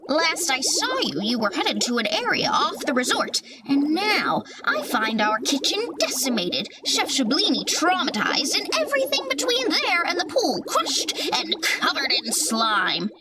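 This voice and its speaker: A woman's voice: high voice, huge pitch swings, unhurried, hardly any pauses, distant mic, full character voice, rough recording. A woman playing a haughty, disdainful corporate lawyer with an imperious, clipped, scolding manner in an audio drama. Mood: cold disdain. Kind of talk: audio drama character acting. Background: music.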